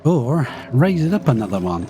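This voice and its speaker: A man casually commenting on his live gameplay, chatty and thinking aloud as he plays, his voice slightly croaky after being ill. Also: mid-range voice, big pitch swings, normal speed, mellow, faint music behind. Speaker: live streamer.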